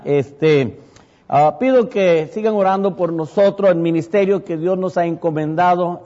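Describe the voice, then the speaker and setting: Puerto Rican pastor with Mexican-tinged Spanish. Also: male voice, fairly high pitch, a little mellow. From Puerto Rico. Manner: rambling, many fillers and self-corrections. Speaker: pastor and church planter. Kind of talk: testimony to a congregation. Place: church sanctuary. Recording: muffled church hall sound with long reverb.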